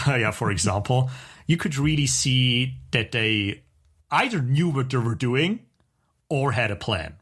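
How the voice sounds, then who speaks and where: A man speaks as a podcast co-host in a casual, conversational style. His voice sits at an average pitch, and he talks haltingly, working out his phrasing as he goes. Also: animated, a bit bright. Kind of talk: casual podcast chat, recommending TV shows.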